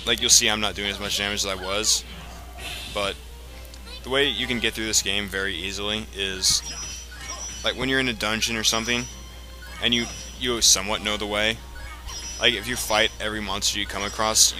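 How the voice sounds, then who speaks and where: A man giving casual, rambling video game commentary, explaining things in a halting way as he plays. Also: lowish voice, fairly even intonation. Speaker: let's play commentator. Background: video game music.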